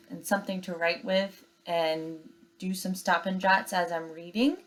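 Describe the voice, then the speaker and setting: A woman teacher reading a children's story aloud expressively and giving instructions to students. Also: mid-range voice.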